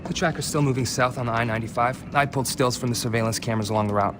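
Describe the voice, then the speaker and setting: An actor playing a keen young tech analyst on an operations team, informal in manner, in a game cutscene. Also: male voice, medium pitch, racing, noisy background, natural voice. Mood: alert. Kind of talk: dramatic video game cutscene acting.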